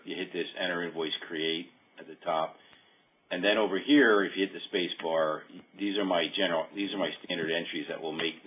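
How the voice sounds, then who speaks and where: Guy w a really low clear voice doing a software demo, kinda halting, phone-like sound. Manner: halting, hesitant explaining. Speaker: software demonstrator. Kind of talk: informal software walkthrough. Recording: dull phone-like conference-call audio.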